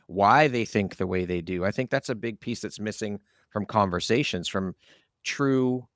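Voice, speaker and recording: A man's voice: lowish voice, gravelly, dry recording, studio quality. A man hosting a conversational interview podcast, asking questions and sharing his own views. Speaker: podcast host.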